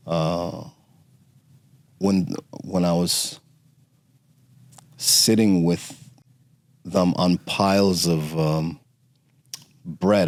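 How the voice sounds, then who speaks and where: A middle-aged man from Romania, a podcast guest telling his family story in a halting way with many fillers and restarts. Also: sits low, darkish tone, no echo. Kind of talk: conversational interview storytelling.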